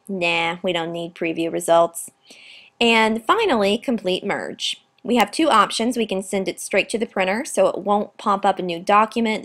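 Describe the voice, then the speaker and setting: Young woman, 20s, slightly raspy and tired, casual chatty tutorial voice-over. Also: medium pitch. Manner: chatty, informal walkthrough with fillers. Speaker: graduate student tutor. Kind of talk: casual screen-recorded software tutorial. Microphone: computer mic, voice-over on screen recording.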